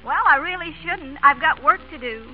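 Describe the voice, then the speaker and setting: A woman's voice: sits high, lively intonation, normal speed. An actress playing a proud, plain-spoken small-town American woman in old radio comedy. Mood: friendly, shy. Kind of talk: 1930s-40s American radio comedy acting. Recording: dull old radio recording, faint music.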